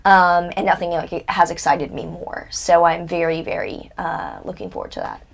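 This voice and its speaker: Blunt candid young woman, lowish voice. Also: big pitch swings, moderate pace, gravelly. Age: 20s. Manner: blunt, candid, swears freely. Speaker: interview guest. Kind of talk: casual interview conversation.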